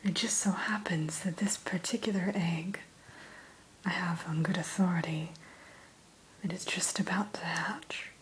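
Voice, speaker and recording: Slow whispering woman, ASMR roleplay. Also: fairly low pitch, rough recording. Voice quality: breathy whisper. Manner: slow, gentle, courteous shopkeeper patter. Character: gentle, courteous female keeper of a dragon hatchery. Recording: steady hiss behind close whisper.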